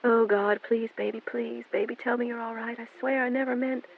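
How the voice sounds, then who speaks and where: A woman's voice: a little high, moderate pace, voice pushed up, close to an everyday voice. A woman voicing a frantic, pleading mother. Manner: pleading, rising and breathless. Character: frantic middle-aged mother, pleading, guilt-ridden. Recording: narrow phone-like band, dry.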